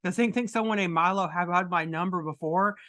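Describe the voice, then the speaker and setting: A woman with a deep voice hosting a podcast, chatting casually and rambling with many restarts. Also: fairly quick, fairly bright tone. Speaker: podcast host.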